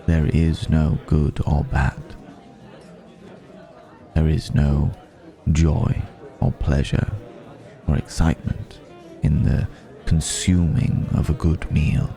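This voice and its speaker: A man playing a refined, wistful, centuries-old vampire in an intimate ASMR roleplay, speaking reflectively with lingering pauses. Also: very deep voice, level tone, gravelly, dark tone, faint music behind, some chatter behind, heavily acted. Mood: wistful, mournful.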